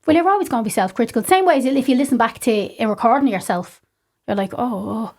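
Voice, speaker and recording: A woman with a Dublin accent talks fast and chatty in a high voice, dropping "like" and "do you know what I mean" into nearly every thought. She is a singer chatting as a podcast guest. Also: big pitch swings, darkish tone. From Ireland. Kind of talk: casual conversation.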